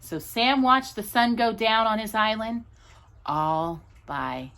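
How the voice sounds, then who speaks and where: A woman reading a children's storybook aloud as a storytime host, in an expressive, drawn-out, playful manner. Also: a little high, gravelly, smooth. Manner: animated, drawn-out delivery with playful asides to a child.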